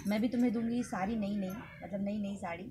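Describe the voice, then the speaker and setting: A woman's voice: medium pitch, steady tone, brisk. A young woman filming a casual family vlog on her phone outdoors, chatting and teasing her sisters and laughing often. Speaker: vlogger. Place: outdoors in a village courtyard and rooftop garden. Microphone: handheld phone camera. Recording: phone audio with loud outdoor noise.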